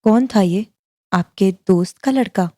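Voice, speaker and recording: A woman's voice: mid-range voice, unhurried, dark tone, no echo, clean studio sound, lightly acted. A woman voicing an anxious young wife who keeps questioning and frets in tears, in an audio drama. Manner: worried, tearful, questioning.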